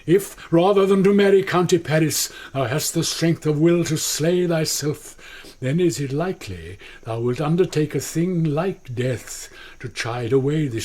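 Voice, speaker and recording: A husky, slow-spoken elderly British friar. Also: male voice, a little high, darkish tone. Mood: urgent hope. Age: older. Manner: measured, declamatory. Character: grave elderly friar, fatherly and urgent. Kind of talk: classical Shakespearean radio acting.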